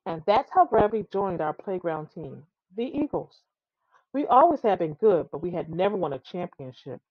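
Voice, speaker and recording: A woman's voice: on the low side, sing-song, moderate pace, slightly rough, no echo, muffled recording. A woman reading a children's tall tale aloud, expressively and with some stumbles over the words. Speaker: storybook reader.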